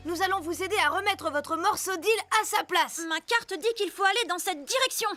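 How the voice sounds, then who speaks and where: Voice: very high voice, fairly quick, pushed and bright. A performer voicing a plucky, friendly young boy pirate leader in a children's cartoon, eager and adventurous. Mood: helpful, determined. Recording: music bed behind.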